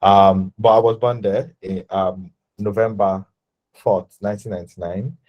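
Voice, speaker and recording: A young Nigerian man in his twenties talking about his life and design work in a relaxed interview, full of fillers and self-corrections. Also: fairly low pitch, a little slow, highs cut off. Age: 20s. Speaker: designer guest. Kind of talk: casual interview talk.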